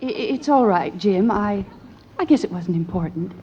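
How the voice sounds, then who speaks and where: A woman playing a gentle, tactful middle-aged mother in a vintage radio sitcom, speaking in a placating way. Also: mid-range voice, lively intonation, unhurried, very noisy, lightly acted. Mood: hesitant. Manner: hesitant, placating. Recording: muffled old radio recording.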